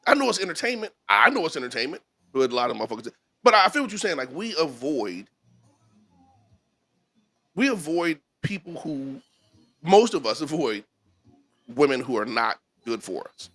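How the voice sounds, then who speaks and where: A man's voice: a little high, very animated, moderate pace, long pauses, bright, forceful tone. A man co-hosting a casual podcast, chatting loosely with a friend, laughing often and repeating himself. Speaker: podcast co-host. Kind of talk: casual crude banter.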